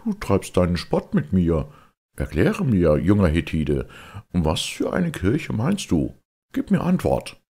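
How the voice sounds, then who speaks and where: A man's voice: sits low, huge pitch swings, a little slow, dark tone, husky, exaggerated voice. A man voicing a stern, pious old sea captain with a hollow voice and a solemn, questioning manner in an audiobook. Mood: deadly serious. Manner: solemn, deadly earnest, interrogating.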